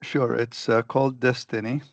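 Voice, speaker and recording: A man speaking on a webinar panel, talking haltingly with many fillers and restarts. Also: medium pitch, level tone, no echo. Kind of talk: unscripted remarks on a webinar. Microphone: computer mic on a video call.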